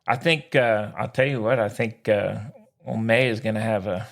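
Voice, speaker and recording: A man's voice: on the low side, fairly even intonation, moderate pace, creaky. A man co-hosting a sports podcast in casual banter, with an informal, exclamatory, slangy way of talking.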